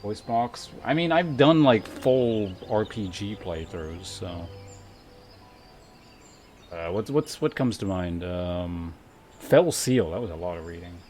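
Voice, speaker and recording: A man's voice: deep voice, expressive, takes it slowly, darkish tone. A man livestreaming a game, chatting casually and thinking aloud in a rambling, sometimes profane way. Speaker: game streamer. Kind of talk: casual livestream chat while playing. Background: game music.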